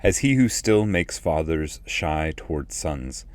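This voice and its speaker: A man narrating epic poetry as an audiobook reader, in a measured and solemn manner. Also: very deep voice, big pitch swings, no echo.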